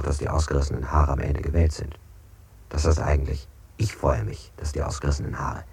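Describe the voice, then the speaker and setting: Interrogated man, low and dark-toned, repetitive literary phrasing, evasive, oddly exact, German experimental radio play. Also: creaky. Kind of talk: 1960s German avant-garde radio play.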